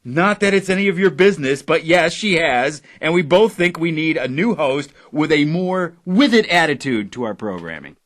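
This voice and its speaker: Man playing an eager, lively-pitched station owner. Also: normal speed, few pauses. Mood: defensive. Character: eager, defensive male internet radio station owner. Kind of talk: audio drama acting.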